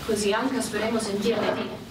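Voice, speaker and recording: An actress playing a humble, worried soldier's wife, speaking formal, simple letter phrases in a radio drama. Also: female voice, medium pitch, level tone, moderate pace, echoey room, far from the mic, noisy background, lightly acted. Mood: worried, dutiful. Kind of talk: slow radio drama letter monologue and folk protest song.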